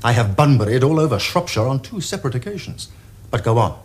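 An actor playing a witty, teasing young gentleman with an upper-class English accent, in a theatrical period comedy. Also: mid-range voice, sing-song, quick pace, natural voice. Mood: amused, probing. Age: young adult. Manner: theatrical, epigrammatic, crisp upper-class phrasing. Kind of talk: period stage comedy acting. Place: furnished studio drawing-room set.

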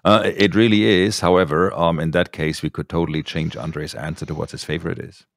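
A man's voice: deep voice, steady tone, fairly quick, dry recording, studio quality. A middle-aged man hosting a podcast over a video call, joking and laughing often as he rambles through his points. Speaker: podcast host. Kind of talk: casual chat on a video call. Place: home studio. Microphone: studio mic on a boom.

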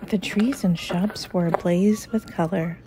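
A woman reading a children's picture book aloud in a deliberate, animated storytelling manner. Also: fairly low pitch, background noise. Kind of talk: expressive read-aloud narration.

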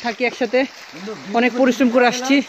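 A man's voice: extremely high pitch, level tone, crowd noise. A young man from Bangladesh filming a forest hike on his phone, exclaiming and joking with friends as he wades through a stream. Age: young adult. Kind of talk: excited handheld travel commentary. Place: outdoors in a forest stream by a waterfall. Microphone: phone camera held at arm's length. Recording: outdoor phone video with loud stream noise. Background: rushing water and splashing.

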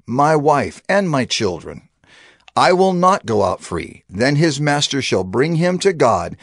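A man reads scripture in a solemn, measured way, his pitch rising and falling freely. He slows down to stress key phrases. Faint music plays beneath his voice. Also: vocal fry. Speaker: scripture reader. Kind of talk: dramatized scripture reading.

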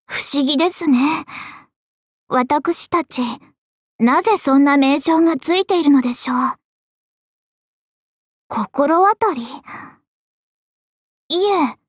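A voice actress playing a devoted, possessive young dragon maiden in love, speaking politely and deliberately in anime game voice lines. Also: female voice, sits high, lively intonation, dry recording, studio quality. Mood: polite, puzzled. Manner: polite, genteel, softly deliberate.

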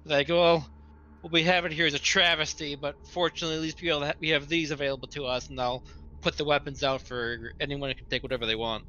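A man's voice: on the high side, fairly even intonation, fast, sharp tone. A man playing a tabletop roleplaying game, casually explaining what his character does. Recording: dull lo-fi sound, faint noise.

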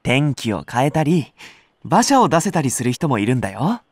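An actor playing an earnest, friendly young rookie nursery teacher who is eager and apologetic, in an anime-style audio drama. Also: male voice, mid-range voice, very animated, moderate pace, breathy edge, no room sound, studio quality. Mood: eager, kindly. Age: young adult.

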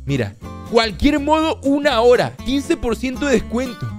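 A young man from Venezuela doing casual, jokey gaming commentary into a boom mic in a small home studio. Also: moderate pace, soft background music. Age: young adult. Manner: excitable, jokey, then chatty with teammate. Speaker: gaming youtuber. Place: small bedroom studio indoors. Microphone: boom mic with headphones.

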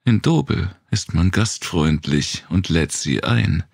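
A man's voice: sits low, lively intonation, takes it slowly, creaky, a little mellow, husky, no room sound, studio quality, heavily acted. A man voicing a tough, wary woman survivor with a blunt, explanatory manner in an audiobook. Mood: explaining, wary.

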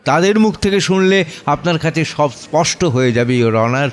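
A contemptuous male defence lawyer, put on by the man narrating, argues before a judge in an audio drama. His voice is pitched up and forceful, with emphatic, declamatory courtroom phrasing. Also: expressive, a little fast, lightly acted. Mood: scornful, insistent.

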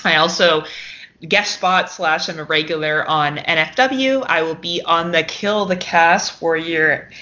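A woman's voice: on the low side, a little slow, sharp tone. A woman chatting casually as a guest on a podcast. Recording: lo-fi, noisy audio with clicks.

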